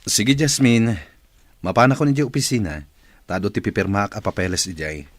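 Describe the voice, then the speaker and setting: A man's voice: on the low side, expressive, a little fast, no room sound. A man playing a courteous, considerate company boss in an audio drama. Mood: friendly, assured. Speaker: voice actor. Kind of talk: radio-style drama acting.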